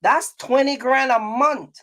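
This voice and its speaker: A man's voice: extremely high pitch, slow, a bit bright. A middle-aged man talking casually to his viewers in a vlog, emphatic and repetitive, often checking that listeners follow. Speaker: trucking business owner and content creator.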